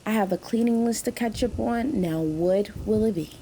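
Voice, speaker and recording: A woman's voice: medium pitch, normal speed, warm tone, slight background noise, lightly acted, rough recording. A woman playing a sassy, impatient late-night diner waitress in an audio drama, with a brusque manner. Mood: brusque, businesslike.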